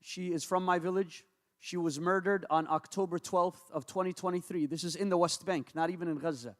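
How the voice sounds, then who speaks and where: Fast-talking imam in an echoing hall. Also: male voice, high voice, steady tone. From United States. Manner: fervent, fast Arabic invocations with English preaching. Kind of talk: mosque talk with Arabic recitation. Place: large mosque hall. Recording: long hall reverb.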